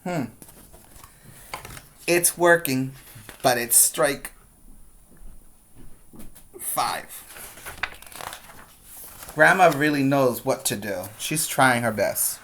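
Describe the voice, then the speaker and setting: A preschool teacher reads and explains a story for small children. The pace is slow and deliberate, with simple phrasing and repeated words for emphasis, in a mid-range voice. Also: mid-range voice, fairly bright tone, a little hiss or hum. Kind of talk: storybook read-aloud with explanations for young children.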